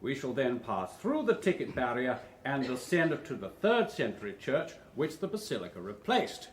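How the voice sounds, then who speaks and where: An actor playing a smooth, officious tour guide in a radio drama, with a formal, flowery way of announcing. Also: male voice, mid-range voice, big pitch swings, normal speed, a little hiss or hum, natural voice. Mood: grand, declaiming. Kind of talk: British radio drama acting.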